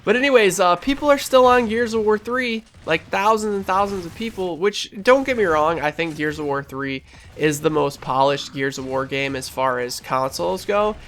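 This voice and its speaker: A young man commentating over his gameplay in a casual, rambling, enthusiastic way, sounding stuffy and a little hoarse. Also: high-pitched, normal speed, a bit bright, slight background noise. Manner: rambling, enthusiastic, lots of fillers and restarts. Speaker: gaming channel host.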